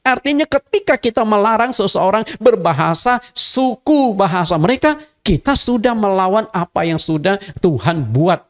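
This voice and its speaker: A man's voice: sits high, animated, normal speed. A middle-aged man from Indonesia, a native Hakka speaker, giving a conversational sermon as a pastor and speaking directly to his congregation. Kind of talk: sermon teaching.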